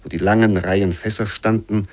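A man reading literary narration for a radio play in a measured, carefully phrased storytelling manner. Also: on the low side, fairly even intonation, a little mellow.

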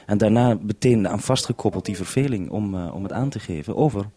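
A man presenting over a two-way radio link, talking in a measured, procedural way. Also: on the low side, warm tone.

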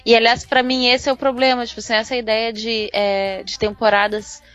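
A woman's voice: fairly high pitch, little pitch movement, a little fast, a bit bright, smooth, dull audio. A woman with a Brazilian accent talking casually as a podcast panelist, thinking her points through with hesitant phrasing. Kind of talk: casual discussion.

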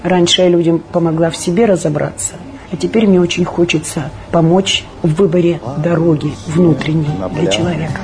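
Mellow-voiced woman reflecting, soft music behind. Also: fairly low pitch, steady tone, a little fast. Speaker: theatre director. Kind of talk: reflective personal talk about own work.

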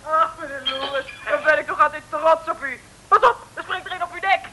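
A woman playing a naive, admiring young detective's sidekick in a 1960s radio comedy serial, polite, cooing and excitable. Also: sits high, fairly quick, close to an everyday voice. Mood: excited warning. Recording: noisy, clicky old radio recording.

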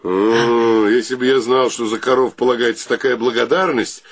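An actor playing a vain, amorous, touchy gallant with florid, flirtatious speech in a radio comedy. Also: male voice, medium pitch, fairly even intonation, moderate pace, dry recording, natural voice. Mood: gallant flirting. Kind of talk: theatrical radio comedy acting.

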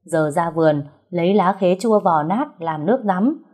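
A woman's voice: lowish voice, expressive, normal speed, darkish tone, husky. A woman voicing a brash, cheeky young female fortune-teller who talks boastfully and colloquially in an audiobook story. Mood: brisk, self-assured.

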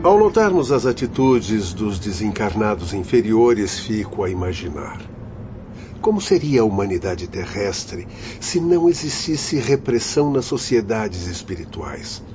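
An actor playing a stern, learned doctor in the spirit world, lecturing gravely and formally in a radio drama. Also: male voice, on the low side, lively intonation, a little slow. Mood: grave, explaining. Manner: didactic, formal, grave, preaching. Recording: muffled lo-fi radio drama, noisy background.

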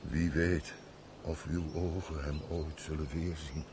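An actor playing an earnest, deferential manservant in a radio play, speaking formally and theatrically. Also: male voice, extremely low pitch, level tone, a little slow, darkish tone. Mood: grave. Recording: noisy old radio play recording.

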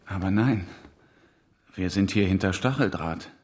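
An actor playing a dazed, dutiful wounded prisoner in a naturalistic German radio drama. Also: male voice, deep voice, unhurried, a little mellow. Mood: disbelieving.

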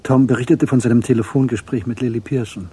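A man narrating a crime radio drama in a sober, measured storytelling style with a husky voice. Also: mid-range voice, steady tone, mellow.

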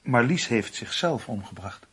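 Radio play: unruffled, decisive husband, matter-of-fact yet lively in tone, husky unclear recording. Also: male voice, medium pitch, unhurried. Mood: sceptical. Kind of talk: Dutch radio play acting.

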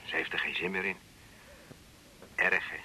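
A dejected old father, low and sharp. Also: steady tone, husky, exaggerated voice, poor audio. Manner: halting, apologetic. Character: older father on the phone, dejected and halting. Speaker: father calling. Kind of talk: 1980s radio drama acting.

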